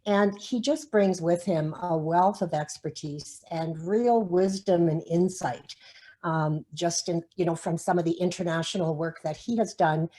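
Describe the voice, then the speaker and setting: A woman's voice: fairly low pitch, unhurried, mellow. A woman moderating an online session. She introduces the next speaker in a friendly, hesitant way, with frequent fillers. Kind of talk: casual spoken introduction.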